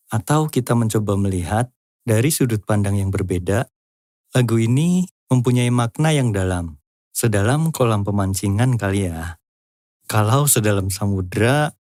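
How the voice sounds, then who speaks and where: Guy doing explainer voiceover, lowish voice, scripted but chatty, bit jokey, really dry recording. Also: a little mellow, no room sound. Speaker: host of a lyric-analysis channel. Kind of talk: scripted explainer narration with casual asides.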